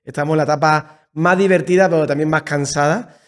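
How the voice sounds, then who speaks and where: A man in his thirties with an Andalusian Spanish accent, telling his story as a podcast guest in a lively, repetitive way. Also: fairly high pitch, fairly quick. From Seville, Andalusia. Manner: warm, enthusiastic storytelling with frequent repetitions. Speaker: podcast guest, church leader. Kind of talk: casual conversational interview. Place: creative studio in Madrid.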